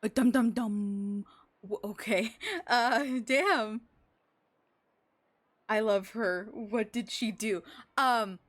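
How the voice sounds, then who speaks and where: A woman's voice: on the high side, drawn-out words, long pauses. A woman chatting casually on a live game stream, musing aloud to herself and her viewers.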